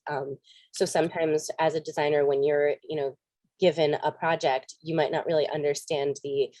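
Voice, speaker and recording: A woman's voice: sits low, mellow, dry recording. An American woman in her thirties with a slight cold, chatting over a video call as a guest designer, friendly and full of ums. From United States. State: sniffly with a cold. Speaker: guest speaker, graphic designer. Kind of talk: casual video-call chat and talk.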